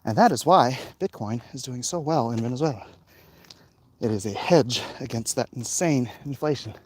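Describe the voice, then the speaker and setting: Animated man chatting on a walk. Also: medium pitch, normal speed. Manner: animated, blunt, opinionated; frequent throat clearing. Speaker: livestream host answering viewer comments. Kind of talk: casual rambling chat while walking. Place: outdoors, walking along streets. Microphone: phone held in hand at arm's length. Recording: faint outdoor street and traffic noise.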